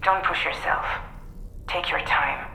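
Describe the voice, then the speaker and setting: An actress playing a caring comrade in a video game, speaking gently and reassuringly. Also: female voice, low voice, slow pace, creaky, reverberant, a little hiss or hum, heavily acted, rough recording. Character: caring female comrade checking on a recovering man. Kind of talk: video game cutscene acting.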